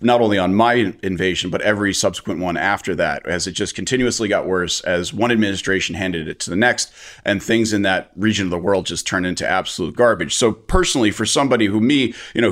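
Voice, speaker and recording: Interview guest: American man, low-pitched, fast, blunt and impassioned. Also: a bit bright. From United States. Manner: passionate, blunt, rapid with restarts. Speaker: interview guest, veteran and media maker. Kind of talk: candid interview answers.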